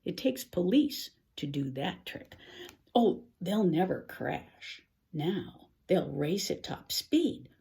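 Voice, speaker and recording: An older woman reads a rhyming children's story aloud in an expressive, theatrical way, with musing exclamations and dramatic pauses. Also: on the low side, creaky. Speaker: picture-book reader.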